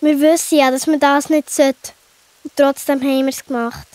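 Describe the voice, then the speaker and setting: Very high-voiced little girl played by a woman. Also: steady tone, moderate pace, darkish tone, no room sound, lightly acted. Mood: guilty. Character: Lila, impulsive young girl child character. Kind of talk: children's audio play acting.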